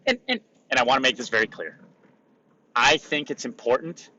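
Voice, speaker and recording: A man hosting a solo motivational podcast, raspy today, talking in an emphatic, repetitive coaching way. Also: medium pitch, bright, forceful tone, highs cut off. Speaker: podcast host.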